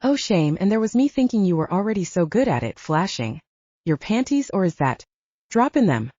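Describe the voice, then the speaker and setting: Woman voicing smug werewolf club owner, husky and lively. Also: medium pitch, normal speed, no echo, highs cut off. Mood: smug, taunting. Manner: taunting, smug. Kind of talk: audiobook character dialogue.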